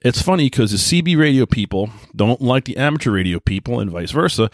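A middle-aged man with an American accent talking casually and informally in a rambling monologue, often halting and rephrasing himself. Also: mid-range voice, animated, no echo, clean studio sound. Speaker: podcast host, radio hobbyist.

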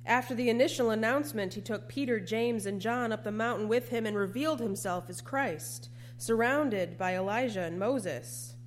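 A woman's voice: on the high side, normal speed, few pauses. A woman preacher delivering a scripted sermon. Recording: noisy recording with hum.

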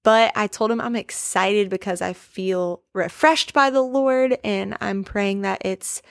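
Woman, late 20s, devotional podcast host, earnest and prayerful, mid pitch, dry booth-like sound. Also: unhurried, creaky, no echo.

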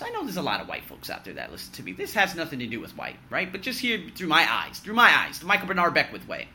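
A man in his thirties talks straight to camera in a lively, swooping voice that leaps high on a punchline and drops low on an aside. His tone is very bright and a touch high for a man. He is blunt and swears freely. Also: slight background noise. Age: 30s. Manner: animated, emphatic, swinging from loud boasts to low muttering. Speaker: vlogger. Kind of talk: personal year-in-review monologue.

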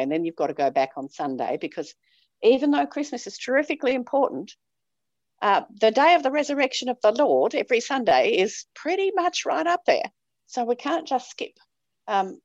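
A woman's voice: mid-range voice, no room sound, muffled recording. A middle-aged Australian woman, a priest, talking in a chatty, emphatic way with frequent ums. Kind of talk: casual chat.

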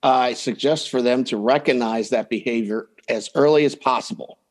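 A man's voice: medium pitch, moderate pace. A man speaking as a coach and podcast guest, giving plain-spoken advice in casual talk and acting out example lines.

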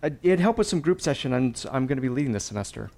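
A man's voice: medium pitch, big pitch swings, racing, gravelly, dark tone. A man acting in a fiction podcast as a vulnerable, earnest character with a tentative way of speaking.

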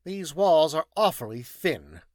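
A man's voice: sits high, sing-song, moderate pace, fairly bright tone, no echo, clean studio sound, voice pushed up. A man voicing a curt, petulant, exacting scientist detective in an audiobook story, speaking precisely and didactically. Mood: brisk, petulant.

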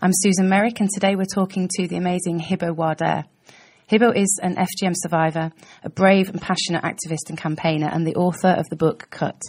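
A woman's voice: lowish voice, fairly even intonation, quick pace, darkish tone, faint music behind. A woman hosting a podcast, asking polite, hesitant questions with many ums. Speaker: podcast host. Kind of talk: podcast interview.

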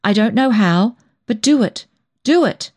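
A woman's voice: fairly low pitch, normal speed, a little mellow, lightly acted. A woman voicing a stern, blunt older female cousin in a storybook, solemn and indignant. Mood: flustered, earnest.

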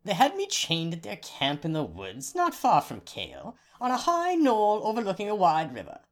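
A man's voice: high voice, normal speed, voice pushed up. A man voicing a clever, boastful young son. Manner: lively, proud storytelling.

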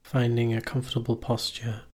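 A man leading a guided meditation as a teacher, giving calm, measured instructions with long pauses between phrases. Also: medium pitch.

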